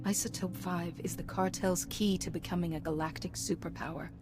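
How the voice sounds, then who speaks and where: Casting: actress for composed warrior-monk heroine in a space game, lowish voice, firm and calm. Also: female voice, brisk, lightly acted. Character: calm, resolute female Jedi heroine. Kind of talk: video game cutscene acting. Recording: music playing behind.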